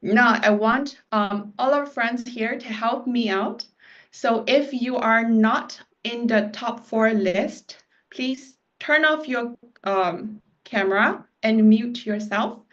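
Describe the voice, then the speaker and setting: A woman hosts a live quiz over a video call, speaking slowly in a somewhat high voice. Her talk is relaxed and chatty, full of small fillers. The call audio sounds dull and lo-fi. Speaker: quiz host. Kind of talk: hosting a live online quiz.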